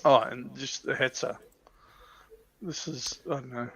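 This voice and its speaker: A man's voice: mid-range voice, steady tone, a little slow, vocal fry, sharp tone, husky. A man chatting casually as an enthusiast on a livestream, often restarting his sentences as he goes. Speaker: livestream panellist. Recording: slightly dull, dry lo-fi audio.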